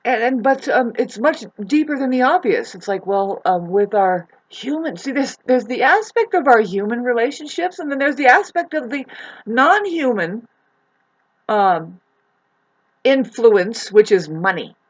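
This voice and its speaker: A woman with a husky voice talking casually in a meandering, halting monologue, often trailing off mid-thought. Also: a little high, lively intonation, lo-fi sound. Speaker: vlogger. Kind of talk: rambling spoken monologue, casual chat.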